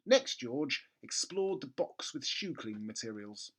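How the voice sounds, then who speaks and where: A man's voice: medium pitch, sing-song, unhurried, a bit bright. Man reading a children's story with theatrical emphasis. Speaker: storybook reader. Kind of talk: expressive children's book narration.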